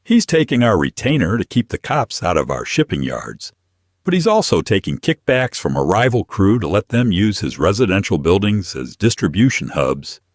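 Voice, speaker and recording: Man as a gruff, low mob lieutenant. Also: very animated, normal speed, no echo, studio quality. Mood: grim briefing. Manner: blunt, grunting. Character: gruff male mafia lieutenant, blunt and grim.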